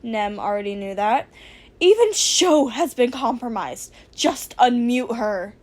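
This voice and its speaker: Woman as male speedster hero, high voice, earnest, flustered, panicky, fan fiction story. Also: animated, unhurried, darkish tone. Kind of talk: fan fiction audio reading.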